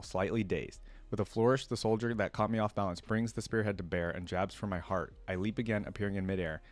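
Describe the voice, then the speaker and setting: Low-voiced man reading and reacting. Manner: casual, expressive, interjects own reactions. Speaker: reaction video host. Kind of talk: reading a story aloud with off-the-cuff fan reactions.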